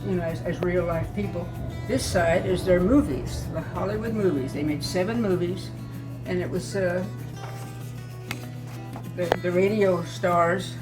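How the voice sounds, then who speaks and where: A woman in her eighties giving an informal, halting, plainspoken guided tour of the small museum and shop she keeps. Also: on the low side, poor audio. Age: 80s.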